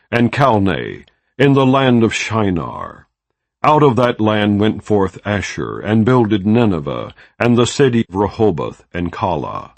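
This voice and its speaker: A man reads scripture aloud in a slow, solemn, measured way, pausing between each name. His low voice has a gravelly edge and moves a lot in pitch. The recording is dry and close, with no room echo. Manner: measured, solemn phrasing with long pauses between names. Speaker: scripture reader. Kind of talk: unhurried audiobook reading of scripture.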